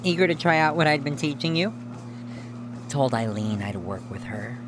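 A domineering female TV producer, cutting and smugly patronizing in an audio drama. The recording has clear background noise and hum. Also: extremely low pitch, animated, moderate pace. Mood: brusque. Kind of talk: naturalistic audio drama acting.

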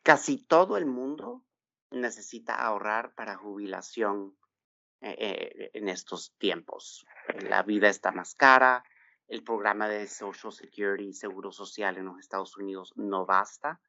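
A middle-aged man from El Salvador, raised in Texas, speaks in a low voice as a podcast guest on finances. He explains things steadily, pausing on "eh" as he thinks. The audio is dull and dry, with no room sound. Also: gravelly, bright, forceful tone, no echo. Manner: measured, explanatory, with filler "eh". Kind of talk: podcast interview.

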